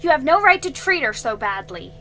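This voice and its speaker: A woman's voice: high-pitched, big pitch swings, a little fast, sharp tone, soft background music, pitched above the performer's own voice, full character voice. A woman voicing a brave, stubborn young girl who speaks earnestly and stands her ground. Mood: defiant. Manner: earnest, defiant.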